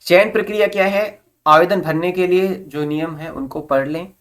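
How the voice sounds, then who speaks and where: Mid-pitched presenter explaining a job notice. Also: moderate pace, a bit bright. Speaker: presenter of job recruitment updates. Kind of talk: informational explainer reading out a notice with plain explanations.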